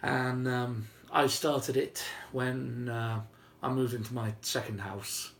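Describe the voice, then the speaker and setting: A man telling a story informally and whimsically, with dramatic pauses and emphasis. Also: medium pitch, little pitch movement, gravelly, a bit bright. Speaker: storyteller and video host. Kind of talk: informal improvised oral storytelling. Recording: roomy, faint background noise.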